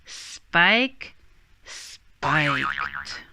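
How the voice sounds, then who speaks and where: Female children's storyteller: very unhurried, lively intonation, bright tone, dramatic phrasing, soft music behind. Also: very deep voice, gravelly. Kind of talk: expressive children's storybook read-aloud.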